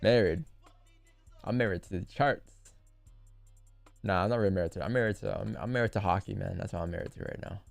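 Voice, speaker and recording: A man's voice: fairly low pitch, brisk, creaky, pauses often, no echo, faint music behind. A man in his twenties hosting a live stream, laid-back in casual banter, often restarting his sentences. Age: 20s. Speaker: live trading stream host.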